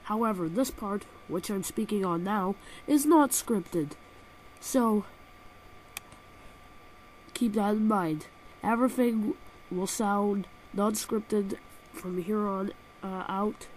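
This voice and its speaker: A woman's voice: medium pitch, slow, long pauses, darkish tone, slight background noise, low-quality recording. Nasal woman with a cold, sarcastic. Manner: sarcastic, exaggerated comic rants. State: has a cold. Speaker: game reviewer. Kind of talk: scripted video game review. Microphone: built-in laptop microphone.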